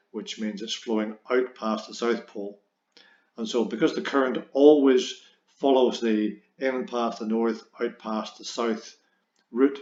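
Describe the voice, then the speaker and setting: A man's voice: lowish voice, darkish tone. A man teaching a physics lesson, explaining step by step and stressing key words. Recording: roomy echo, dull lo-fi sound.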